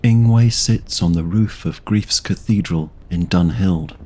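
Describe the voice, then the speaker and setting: A man narrating a scripted fantasy audio drama in a measured, literary storytelling manner. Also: deep voice, dark tone, slightly rough.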